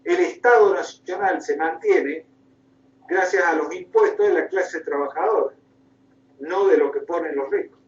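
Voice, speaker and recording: A man's voice: on the high side, measured pace, a little hiss or hum. Man, Argentine accent, impassioned lecture, rhetorical questions, echoey room, dull muffled sound. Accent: Argentine Spanish. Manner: emphatic, oratorical, repeats phrases and asks rhetorical questions. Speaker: guest lecturer, political activist. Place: indoor room, recorded at a conference. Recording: roomy, distant mic, dull sound.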